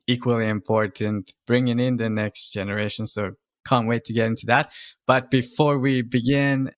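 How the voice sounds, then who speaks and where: Male podcast interviewer, mid-pitched, conversational delivery, very dry recording. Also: no room sound. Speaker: podcast host.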